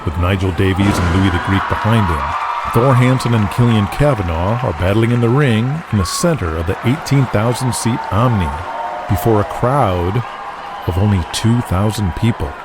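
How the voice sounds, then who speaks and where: A man's voice: on the low side, normal speed, over loud music, over a noisy crowd. A man reading scripted, dramatic narration as the narrator of an audio drama.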